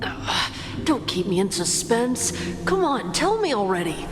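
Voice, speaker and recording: Impatient young girl, very lively intonation, informal, curious, game dialogue, hall reverb, loud music. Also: on the high side, a little slow. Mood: impatient curiosity. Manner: informal, eager, expressive. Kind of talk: video game voice acting.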